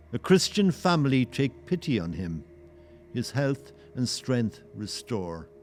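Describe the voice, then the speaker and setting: A man narrating a historical audio play in a solemn, literary and measured way. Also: medium pitch, darkish tone. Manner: measured, poetic. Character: solemn male storyteller of a historical tale.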